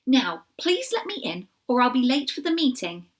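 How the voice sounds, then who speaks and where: A woman voicing a small, timid young male bunny who speaks softly and politely. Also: high voice, sing-song, normal speed, voice pushed up. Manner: soft, polite.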